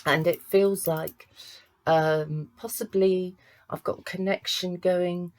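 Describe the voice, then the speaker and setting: A woman reads cards as a psychic medium. She speaks unhurriedly, with a slightly low voice. She pauses often, as if searching for each impression. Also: fairly even intonation. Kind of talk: monthly astrology and card reading to camera.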